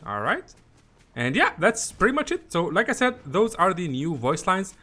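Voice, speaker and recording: Lively higher-pitched man guiding a game walkthrough. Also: normal speed. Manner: rambling, repetitive, conversational. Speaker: gaming video host. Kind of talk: casual game walkthrough commentary.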